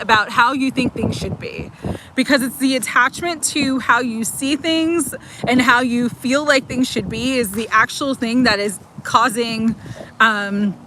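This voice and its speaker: A woman's voice: on the high side, noisy background. A woman in her forties giving chatty, affirming oracle card readings on a livestream, laughing often between lines. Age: 40s. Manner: warm, chatty, giggly, affirming. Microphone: livestream mic.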